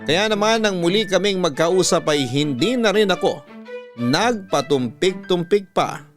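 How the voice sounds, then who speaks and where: A man's voice: high voice, lively intonation, measured pace. A man narrating a scripted first-person story as a radio host reading out a listener's letter. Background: music.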